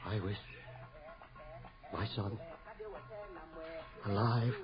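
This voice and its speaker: An actor playing a grieving, reluctant husband and father in a radio horror drama, with halting, pleading delivery. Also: lowish voice, steady tone, loud music behind the voice. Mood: resigned, dread. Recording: dull, noisy 1980 radio broadcast recording.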